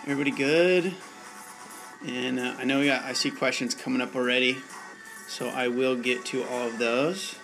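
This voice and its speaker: A man's voice: mid-range voice, fairly even intonation, normal speed, over music, poor audio. A young man hosting a live bartending stream, chatting casually and laid-back with viewers. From United States. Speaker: bartending channel host.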